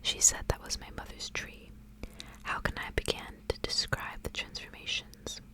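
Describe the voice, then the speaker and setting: A woman reads a story in an ASMR style. Her voice stays in a hushed whisper, with no full voicing. The delivery is that of a literary tale read aloud for listeners. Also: moderate pace. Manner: hushed, unvoiced whispering throughout. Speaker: ASMR reader.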